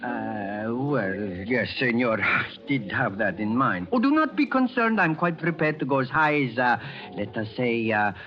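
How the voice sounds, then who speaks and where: Suave foreign buyer, swooping polite speech. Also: male voice, mid-range voice, sing-song, unhurried, soft background music. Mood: eager, courteous. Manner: formal, polite, hesitant phrasing. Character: suave, insistent foreign buyer haggling over a negative. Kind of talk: old-time radio drama acting. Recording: dull vintage radio recording, crowd murmur.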